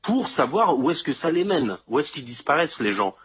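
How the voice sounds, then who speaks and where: A man's voice: a little high, sing-song, brisk, fairly bright tone, husky, highs cut off. A man in casual conversation, explaining things step by step and often checking that his listener follows.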